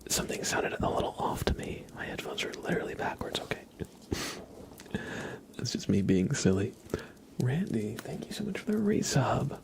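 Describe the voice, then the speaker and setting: A man hosting an ASMR livestream, whispering softly and casually close to the microphone, drawing out and repeating words. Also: mid-range voice, very animated, creaky. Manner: soft, drawn-out, repeats words slowly.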